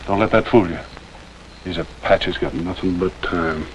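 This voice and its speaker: Low-voiced, laconic American frontier scout. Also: male voice, measured pace. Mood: grim warning. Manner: dry, blunt, folksy. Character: laconic, skeptical male frontier army scout. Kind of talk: mid-century American TV western acting. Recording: noisy old TV soundtrack with rain.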